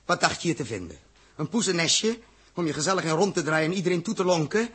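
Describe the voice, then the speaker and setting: A man playing a stern, exasperated spaceship captain in a 1960s Dutch radio drama, lecturing with forceful sarcasm. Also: a little high, animated, fast talker, slightly rough. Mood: angry, scornful.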